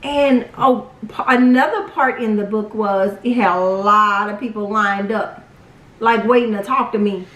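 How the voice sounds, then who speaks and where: A young woman telling a personal story casually, with animated, dramatic retelling and quoted dialogue. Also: mid-range voice, a little slow. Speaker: vlog storyteller. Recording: roomy echo, distant from mic.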